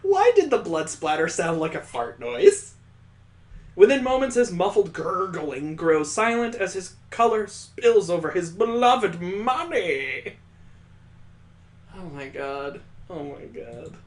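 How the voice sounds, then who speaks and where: A streamer reads a visual novel aloud in a fairly high voice at an unhurried pace. He cuts in with crude, joking asides and his own mock sound effects. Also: big pitch swings. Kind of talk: reading a visual novel aloud with jokey commentary.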